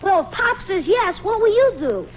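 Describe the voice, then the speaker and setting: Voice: extremely high pitch, very animated, normal speed. A performer playing an eager, wheedling young son in a 1940s radio comedy, whiny when refused. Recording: muffled, noisy old radio recording.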